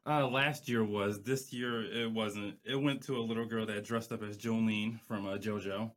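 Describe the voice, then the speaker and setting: Laid-back man with a lowish voice. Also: fairly even intonation, moderate pace. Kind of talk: casual chat.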